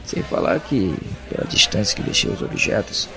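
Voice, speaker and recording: A man with a Brazilian accent playing an introspective, uneasy captive who narrates an audio drama in a brooding, deliberate way. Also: fairly low pitch, sing-song, gravelly, music in the background. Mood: puzzled, methodical. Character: captive man, introspective, uneasy, reasoning through his confinement.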